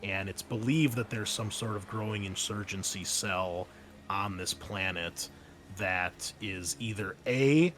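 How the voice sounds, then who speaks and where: A man running a tabletop game as game master, explaining and narrating casually in a halting, conversational way. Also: fairly low pitch, a little hiss or hum.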